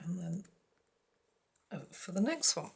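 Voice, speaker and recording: A woman talking through a craft project at her table in a low, gravelly, slightly husky voice. She chats in a relaxed, self-deprecating way and murmurs to herself as her hands work. Her pace is unhurried. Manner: chatty, self-deprecating, murmuring while working. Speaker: craft tutorial host. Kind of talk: casual crafting tutorial. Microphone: overhead camera on the work, speaker off frame.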